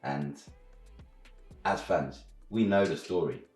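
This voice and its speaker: Super low british bloke chatting reviews, informal slangy, roomy echo. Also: male voice, takes it slowly, a little mellow, faint music behind. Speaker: film and game reviewer. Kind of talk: casual opinionated discussion.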